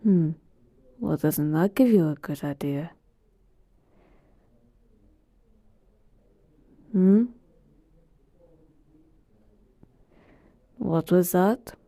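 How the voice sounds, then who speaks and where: Woman playing an ancient wry genie, unhurried, low-pitched, chatty roleplay, teasing asides. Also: animated, mellow, dry recording. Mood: musing, probing. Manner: casual, teasing, conversational asides. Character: ancient all-powerful genie, wry, chatty, servant to a master. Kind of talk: in-character roleplay monologue to the listener.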